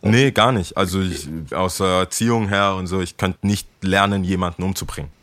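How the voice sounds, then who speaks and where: A young man with a very low, deep voice tells stories in a loose, chatty way. The sound is close and dry, with no room around it. He laughs now and then while he talks. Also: steady tone, brisk, a bit bright, dry recording. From Ghana. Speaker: guest, skate shop worker. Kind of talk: casual interview storytelling.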